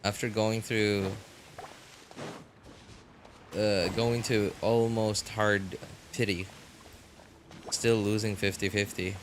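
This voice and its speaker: A man live streaming a game, chatting casually in halting phrases that trail off. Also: fairly low pitch, level tone, a little hiss or hum. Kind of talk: casual live chat while gaming.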